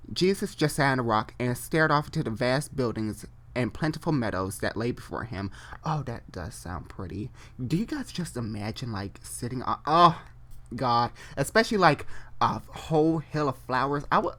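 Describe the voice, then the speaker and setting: Animated, bright-voiced comedic story reader. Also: medium pitch, moderate pace. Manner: theatrical and unfiltered, breaking off into asides and stumbling re-reads. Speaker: reader and host. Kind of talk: comedic dramatic reading of amateur online fiction with casual asides.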